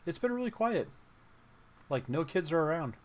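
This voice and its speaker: A man narrating a tabletop game as game master in a casual, halting, conversational way. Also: on the high side, sing-song. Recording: faint background noise.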